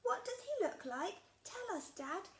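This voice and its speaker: A woman voicing a curious, brave young monster girl, loud and slow and bold. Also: very high-pitched, very animated, voice pushed up, full character voice. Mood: eager. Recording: echoey room, faint background noise.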